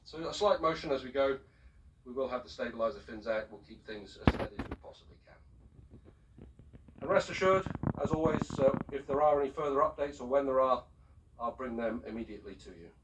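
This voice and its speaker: A man's voice: medium pitch, highs cut off, a little hiss or hum. Distant male ship captain on the PA. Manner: measured, courteous, formal. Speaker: ship's captain making an announcement. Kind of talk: formal public address announcement. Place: aboard a cruise ship, heard over the public address system. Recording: distant shipboard PA speaker, picked up from afar.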